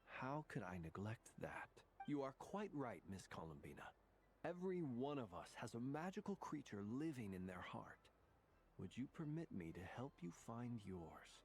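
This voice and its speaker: A man voicing a courtly, gallant gentleman in a video game, formal, measured and playfully courteous. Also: medium pitch, sing-song, a little hiss or hum. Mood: courteous, amused.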